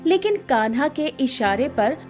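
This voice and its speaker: A woman narrating a scripted bedtime story in an expressive storytelling manner. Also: sits high, a little slow, soft background music.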